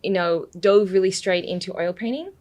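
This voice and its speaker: A woman's voice: medium pitch, normal speed, darkish tone. A woman with an Australian accent touched by British, chatting as a podcast guest, laughing often and leaning on "you know.". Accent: Australian, slightly mixed with British. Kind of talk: casual interview chat.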